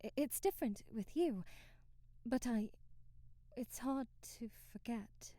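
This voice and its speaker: A woman's voice: on the high side, takes it slowly, warm tone, dry recording. A woman playing a timid, devoted young maid in an ASMR roleplay, speaking formally and deferentially with hesitant stammers and period-drama phrasing. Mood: hesitant, hurt.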